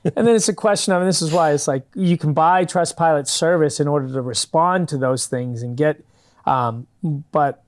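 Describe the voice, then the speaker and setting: A man's voice: a little high, moderate pace. A man answering questions in a studio interview, speaking earnestly and explaining his views at length with frequent restarts. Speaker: guest, fundraising company founder. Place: public TV studio.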